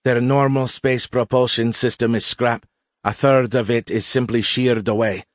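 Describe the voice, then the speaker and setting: A man's voice: mid-range voice, fairly even intonation, normal speed, no echo, studio quality, pitched above the performer's own voice, lightly acted. A man voicing a weary, blunt young female alien cadet in an audiobook, speaking formally and with emphatic insistence. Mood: exhausted, adamant.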